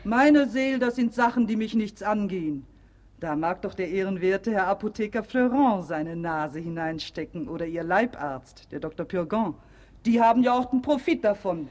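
A woman's voice: medium pitch, lively intonation, moderate pace, a little mellow, lightly acted. A woman acting a cheeky, pert housemaid. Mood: mocking. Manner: pert, mocking, unimpressed. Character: cheeky, quick-witted housemaid, bold and plain-spoken. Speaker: actor. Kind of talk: German radio play comedy acting.

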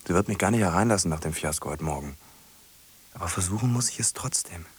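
Radio play role: troubled man desperate to make amends, very husky and breathy, lowish voice, hesitant fragments. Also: lively intonation, fast talker, exaggerated voice. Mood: anxious, brooding. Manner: hesitant, fragmented, muttering to himself. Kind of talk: radio drama acting.

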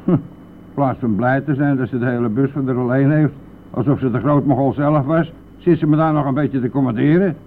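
A man's voice: medium pitch, fairly quick, close to an everyday voice. Male actor as village bus driver, cheeky, nosy, blustering, radio drama, thin narrow-band sound, slight vehicle noise. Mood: grumbling. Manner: teasing, blustering, chuckling at own jokes. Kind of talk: Dutch radio play acting.

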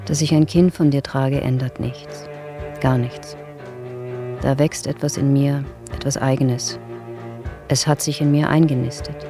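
A defiant woman speaking her letter in a radio drama. Her very low female voice is measured and cold, each denial stressed, with music behind it. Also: flat delivery.

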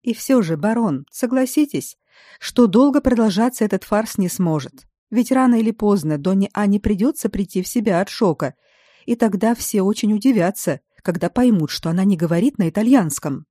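A woman's voice: medium pitch, lively intonation, normal speed, warm tone, dry recording, studio quality, voice pushed up, natural voice. A woman voicing a frustrated young female student in an audiobook, speaking in an exasperated way.

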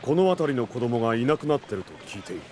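A man's voice: medium pitch, sing-song, fast, background noise, natural voice. An actor playing a terse, brooding male wandering hunter in an audio drama, speaking curtly and with restraint. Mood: stern, inquiring. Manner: curt, restrained questioning. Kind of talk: Japanese audio drama acting. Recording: music behind the voice.

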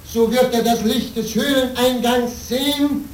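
An actor playing a solemn old hermit monk in a German radio play, speaking with formal ceremony. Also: male voice, very high-pitched, steady tone, unhurried, slight background noise. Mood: grave, instructive. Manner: ceremonious, speaks of himself in third person. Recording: roomy, distant old radio drama with faint music.

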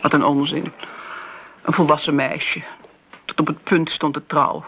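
An actress playing a disdainful older woman who reminisces briskly in a radio drama. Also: low-pitched, steady tone. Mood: scornful. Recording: dull, noisy old radio play recording.

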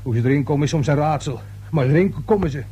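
A man's voice: on the high side, racing, warm tone, slightly rough, highs cut off. An actor playing a flustered male building caretaker in a radio play, stammering and defensive, with colloquial speech. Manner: stammering, hesitant, repeats words. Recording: old radio play recording with faint hum.

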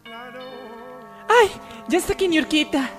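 A woman playing an excitable, grumbling mother in a parody radio soap, with a mock Latin American accent. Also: high voice, slow, faint music behind. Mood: joyful, fussing. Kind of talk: parody telenovela radio acting.

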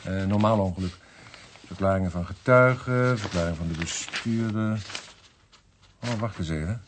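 An actor playing an earnest, slightly testy junior police detective in a radio play, with Netherlands Dutch speech and a formal, pointed manner. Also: male voice, deep voice, normal speed, close to an everyday voice. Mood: matter-of-fact. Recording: noisy archive radio play recording.

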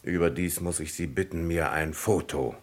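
An actor playing a courteous, methodical French police commissioner in a vintage German radio crime drama, speaking politely and deliberately with probing questions. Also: male voice, deep voice.

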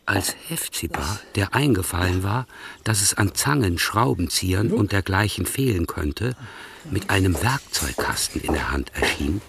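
Deep, gravelly male narrator. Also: lively intonation, far from the mic, background noise. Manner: measured, storytelling. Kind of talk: scripted radio-play narration.

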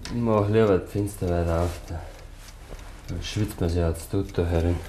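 An actor playing an uneasy, weary Bavarian husband in a dialect radio play, muttering and halting as he talks. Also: sits low, fairly even intonation, very noisy, lightly acted. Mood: weary, bitter.

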